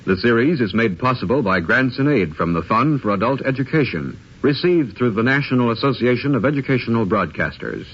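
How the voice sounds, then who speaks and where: A man's voice: medium pitch, expressive, fairly quick, few pauses, breathy edge. A man making formal, scripted announcements in the style of 1950s radio. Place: radio studio. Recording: dull 1950s radio recording.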